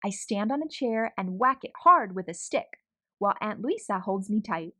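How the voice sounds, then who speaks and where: A woman reading a children's picture book aloud with an expressive storytelling manner. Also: medium pitch, normal speed.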